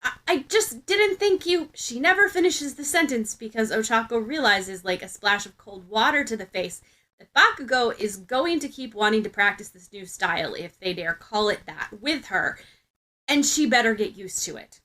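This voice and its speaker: Bright-voiced woman narrating fiction. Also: a little high, expressive, moderate pace. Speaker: podfic narrator. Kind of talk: expressive scripted fiction narration.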